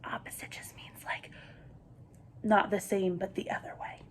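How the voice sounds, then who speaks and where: A woman's voice: mid-range voice, little pitch movement, measured pace. A woman reading a children's picture book aloud expressively, with whispered asides that explain words to young listeners.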